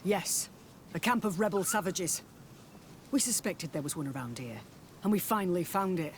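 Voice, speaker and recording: A man's voice: high voice, lively intonation, normal speed, a little hiss or hum. A man playing a hostile, suspicious colonial scout in a video game cutscene, speaking in a curt, accusatory way. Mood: grim.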